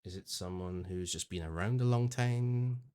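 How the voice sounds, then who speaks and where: A man's voice: sits low, moderate pace, a little mellow, clean tone. Male podcast host, easygoing banter, light self-mocking humor. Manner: chatty, joking, self-deprecating. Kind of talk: casual chat.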